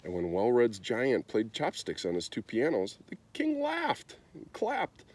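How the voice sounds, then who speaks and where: A man reading a children's story aloud in an expressive storytelling style. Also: mid-range voice, measured pace, a little hiss or hum. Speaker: storybook reader.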